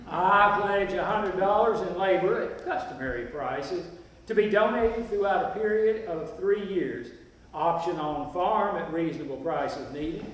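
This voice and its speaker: A man's voice: high voice, animated, a little slow, fairly bright tone. A man playing sincere rural farmers in a stage play, reciting in a formal, declarative way. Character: sincere rural farmers pledging labor and land. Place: stage performance at a festival. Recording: echoey hall, distant mic.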